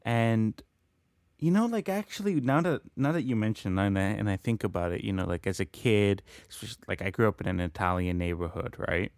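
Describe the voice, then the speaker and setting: A man's voice: on the low side, animated, brisk, a little mellow, smooth, no room sound, clean studio sound. A man co-hosting a podcast, chatting casually in a rambling way. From born in Colombia, grew up in Canada. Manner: rambling, peppered with "like". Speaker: podcast co-host. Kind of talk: casual chat.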